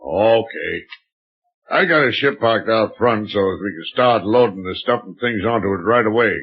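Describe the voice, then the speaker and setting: Rough-talking American spaceman, gravelly and ungrammatical, old muffled radio drama. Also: lowish voice, moderate pace. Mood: gruff, casual. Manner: ungrammatical, slangy, hesitant. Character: gruff, slangy, rough-talking male space adventurer. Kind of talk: 1930s radio serial acting. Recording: dull 1930s radio recording.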